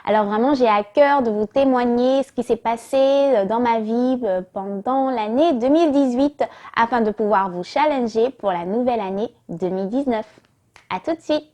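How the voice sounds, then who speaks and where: A woman's voice: fairly high pitch, moderate pace, dark tone. A young woman from Martinique, telling a personal faith testimony in a casual, hesitant way with many fillers. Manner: hesitant, many fillers, acts out her own past thoughts. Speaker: vlogger giving a testimony.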